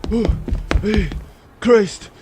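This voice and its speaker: Man as a high-voiced, groggy small-time outlaw. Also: over music. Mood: groggy, queasy. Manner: groggy, halting speech. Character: dazed, queasy male small-time outlaw, wisecracking.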